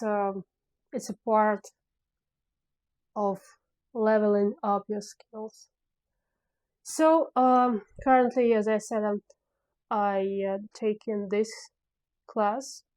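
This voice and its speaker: A woman whose first language is Russian speaks English in a relaxed video diary, pausing often and restarting her sentences as she hunts for the right words. Her pace is unhurried and her voice sits mid-range with a dark, mellow tone. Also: mid-range voice. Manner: hesitant, many fillers and restarts, searching for words. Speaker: filmmaker vlogger. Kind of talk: casual spoken diary.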